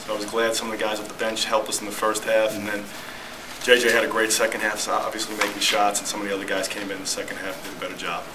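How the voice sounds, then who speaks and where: A middle-aged man talks fast in a fairly low, even voice, laying out basketball decisions at a postgame press conference. His answers are brisk and matter-of-fact. A steady noise runs under the press room recording. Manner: quick, matter-of-fact explanations. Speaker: basketball head coach. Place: press room in front of a team backdrop. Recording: noisy press room audio.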